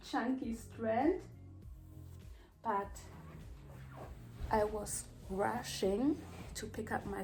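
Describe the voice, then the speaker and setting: German-accented woman vlogging, slow and rambly, little bit of music in the back. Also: on the high side, a little mellow, rough recording. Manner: unhurried, rambling, with many pauses and fillers. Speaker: hair vlogger. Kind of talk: casual vlog chat. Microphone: voiceover microphone.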